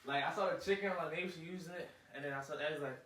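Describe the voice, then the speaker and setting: A man's voice: a little high, racing, a bit bright, distant mic. A man chatting casually and informally from away from the microphone. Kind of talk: casual chat. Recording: echoey room, off mic, faint noise.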